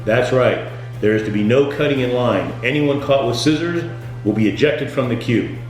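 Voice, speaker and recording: A man's voice: medium pitch, normal speed. Echo-heavy man doing tour-guide patter. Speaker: tour boat skipper performer auditioning. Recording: very echoey room, music underneath.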